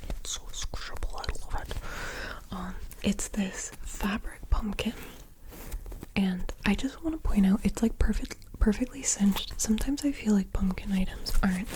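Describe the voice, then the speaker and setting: A woman rambling in a half-whispered, soft-spoken ASMR style, breathy and unhurried, talking close to a sensitive mic. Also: mid-range voice. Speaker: ASMR creator.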